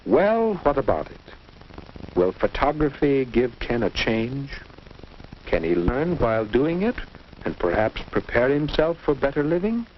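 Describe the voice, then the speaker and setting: A man's voice: medium pitch, big pitch swings, measured pace. A man playing a guiding, didactic American narrator in a 1950 instructional film, on a dull, noisy old soundtrack. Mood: questioning. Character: guiding, fatherly narrator advising a teenage boy.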